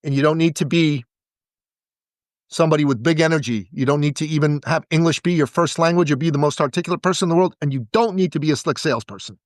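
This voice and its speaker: High-voiced emphatic man pitching. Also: quick pace, no room sound, clean studio sound. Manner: emphatic, repeats words for stress. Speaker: podcast guest, business coach. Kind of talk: energetic sales pitch.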